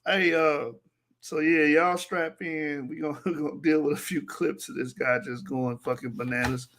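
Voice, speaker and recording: A man's voice: a little high, steady tone, normal speed, fairly bright tone, slight background noise. A young man co-hosting a casual reaction show, laughing often and trash-talking in loose slang. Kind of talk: casual mocking commentary.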